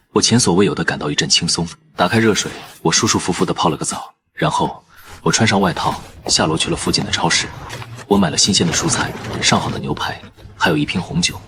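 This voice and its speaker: Very deep-voiced male storyteller. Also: fairly bright tone, a little hiss or hum. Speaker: narrator. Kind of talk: first-person story narration.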